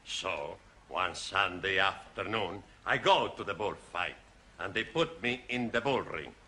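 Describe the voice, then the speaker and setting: A man's voice: sits low, animated, measured pace, sharp tone, heavily acted. An actor playing a cheerful, boastful Spanish man in a sitcom, speaking broken English with a Spanish accent and laughing at his own jokes. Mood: cheeky. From Spain. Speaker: adult language student. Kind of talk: 1970s studio sitcom acting. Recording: studio audience laughter, hiss.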